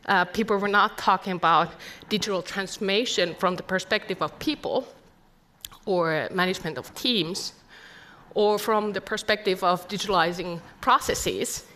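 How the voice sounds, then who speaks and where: Middle-aged woman, Finnish accent, mid-range pitch, earnest conference speaker who leans on rhetorical questions. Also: fairly bright tone. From Finland. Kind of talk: conference presentation.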